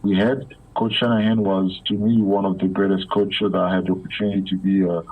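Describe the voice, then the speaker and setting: A man from Belgium speaks as an interview guest, his low, gravelly voice heard through a tinny phone line. He stumbles and repeats words often, circling back before finishing each thought. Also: level tone. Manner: halting, many repeated words and fillers. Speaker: guest, former pro football player. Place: Africa.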